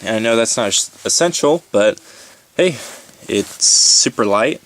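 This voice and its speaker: A man giving a casual, unscripted walkthrough in a halting, informal way, as if thinking aloud, indoors on a handheld camera. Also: mid-range voice, lively intonation, fairly bright tone. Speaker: hiker presenting his gear. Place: indoors in a room at home. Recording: background hiss, handling clicks and crinkling.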